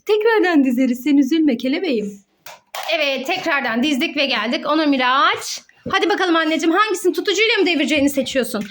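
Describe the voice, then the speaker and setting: A woman's voice: high voice, animated, fast, a bit bright, a little hiss or hum. A woman hosts a children's play video as a mother. She speaks playfully to young viewers, then turns to her child with affectionate, encouraging words.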